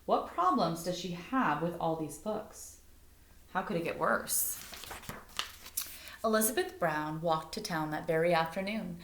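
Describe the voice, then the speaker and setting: A woman reading a picture book aloud like a teacher, expressively, pausing to explain things and put questions to young listeners. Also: lowish voice, lively intonation, background noise. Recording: roomy, echoing indoor sound.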